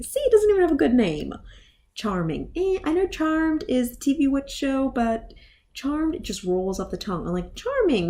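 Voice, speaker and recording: A woman with a fairly high but mellow voice talks through a movie review. She is casual and opinionated, speaking bluntly with an exasperated edge. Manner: exasperated, blunt, with sighs and groans.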